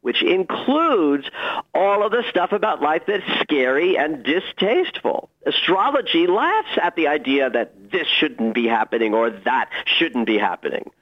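Guy astrologer on a radio interview, super animated up and down voice, bit dull lo-fi audio. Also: a little high, unhurried. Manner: enthusiastic, emphatic. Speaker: guest astrologer. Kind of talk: radio interview, conversational advice.

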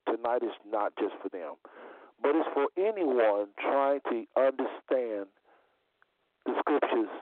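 A man's voice: medium pitch, animated, no room sound. Phone-line male talk show host. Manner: deliberate, repeats phrases for emphasis. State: voice a little under the weather. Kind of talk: unscripted call-in religious talk show hosting. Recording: phone-like internet radio audio.

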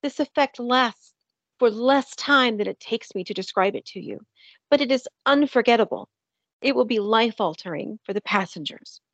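A woman's voice: on the high side, expressive, normal speed, fairly bright tone, no echo, muffled recording. A woman narrating a scripted audio drama in a descriptive storytelling manner.

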